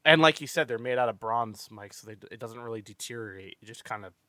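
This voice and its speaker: A man's voice: mid-range voice, fast talker, pushed and bright, no room sound. A man hosting a casual movie-review podcast, rambling with many stammered restarts and free swearing. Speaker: podcast host.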